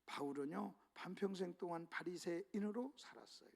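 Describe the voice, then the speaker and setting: High gravelly-voiced pastor guy, preaching hard, keeps calling out to the crowd. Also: moderate pace. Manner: emphatic preaching, repeatedly addressing the congregation. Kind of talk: revival sermon.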